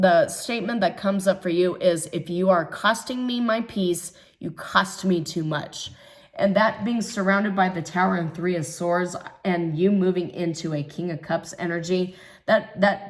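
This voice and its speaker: A hoarse woman reads tarot in a loose, thinking-aloud way, her voice sitting a little low. She hesitates often with fillers, then presses her points with firm conviction. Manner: musing, hesitant with many ums, emphatic about others' jealousy. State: hoarse from recovering illness. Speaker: tarot reader. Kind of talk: casual tarot reading monologue.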